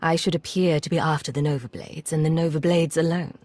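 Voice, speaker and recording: A woman's voice: low voice, steady tone, a little fast, darkish tone. A woman playing a cool, sardonic imperial agent in a video game, dry, clipped and controlled. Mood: dry, probing.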